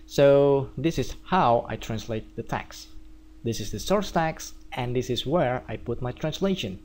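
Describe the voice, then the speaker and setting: A man from Indonesia with an Indonesian accent, walking viewers through a software tutorial step by step. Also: mid-range voice, unhurried, a little hiss or hum. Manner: steady step-by-step explaining. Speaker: theme developer. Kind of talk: screencast tutorial.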